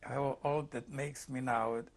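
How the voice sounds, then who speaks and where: An elderly man in his eighties speaks English with a Hungarian accent. He talks very slowly and haltingly, pausing to find the right words. His voice sits at an ordinary pitch for a man. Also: fairly even intonation. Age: 80s. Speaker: interviewee. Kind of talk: oral history testimony.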